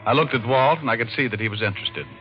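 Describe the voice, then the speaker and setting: A man playing a sharp, wisecracking private detective in casual, slangy old-time radio style. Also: mid-range voice, very fast, over music, close to an everyday voice. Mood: wry narration. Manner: casual, slangy, reasoning aloud. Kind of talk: 1940s-50s radio detective acting and narration. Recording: muffled, noisy old radio recording.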